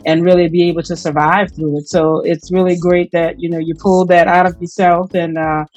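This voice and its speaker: A woman with a fairly low voice hosts a conversational interview. She feels her way through long, meandering thoughts. The sound is dry and close, with soft music in the background. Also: flat delivery, fairly quick, no room sound, muffled recording. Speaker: show host, interviewer.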